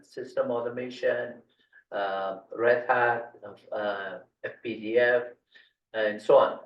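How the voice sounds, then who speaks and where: A man born in Afghanistan whose first language is Dari, giving a tech tutorial over a screen share, halting with many fillers and restarts. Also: mid-range voice. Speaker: tutorial instructor. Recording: roomy, distant, dull lo-fi mic.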